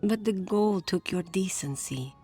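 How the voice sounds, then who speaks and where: A woman playing a gentle, reproachful estranged partner in a game cutscene, speaking in a soft, measured, wistful way. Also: medium pitch, slightly rough, faint music behind. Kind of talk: dramatic game cutscene dialogue.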